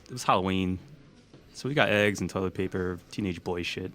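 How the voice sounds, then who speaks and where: A man's voice: low-pitched, a little fast, fairly bright tone, a little hiss or hum. A man playing an easygoing, plain-spoken young guy in a naturalistic audio drama, talking casually. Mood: confiding. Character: easygoing young man confiding past events.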